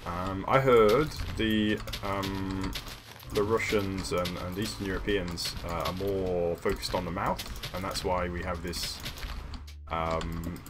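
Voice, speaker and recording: Low-voiced man rambling on stream. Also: background noise. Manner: rambling, halting, many fillers and restarts. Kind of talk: casual live-stream chat while building in a game. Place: small room at home. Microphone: desk mic at a computer. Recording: background music under the voice.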